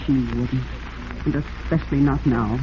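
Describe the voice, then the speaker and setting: Devoted young American wife, anxious, tender pleading, 1940s radio melodrama, muffled recording, light hiss. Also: female voice, rumbling low, steady tone, normal speed. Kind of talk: 1940s radio drama acting.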